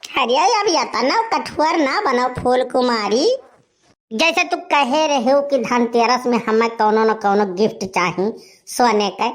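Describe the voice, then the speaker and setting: A woman's voice: fairly high pitch, a little slow, lightly acted. A woman playing a desperate, lovesick young village man in a cartoon comedy, pleading melodramatically with an Awadhi accent. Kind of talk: animated comedy dialogue.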